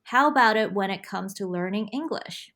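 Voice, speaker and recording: A woman's voice: mid-range voice, lively intonation, moderate pace, a bit bright, slight background noise. A woman hosting an academic podcast, introducing her guest and asking friendly, encouraging questions. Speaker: podcast host. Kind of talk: scripted intro then interview questions.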